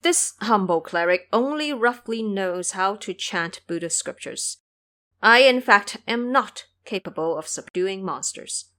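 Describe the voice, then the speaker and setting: A woman's voice: mid-range voice, animated, measured pace, sharp tone. A woman playing a humble, timid Buddhist monk who speaks formally and deferentially in an audio drama. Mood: panicky.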